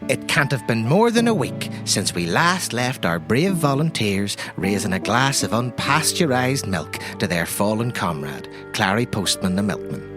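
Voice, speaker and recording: A man's voice: on the low side, sing-song, normal speed, few pauses. An Irish man narrating a tabletop game as game master, in a folksy, wry way that speaks straight to the listener, with music behind him. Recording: music under the voice.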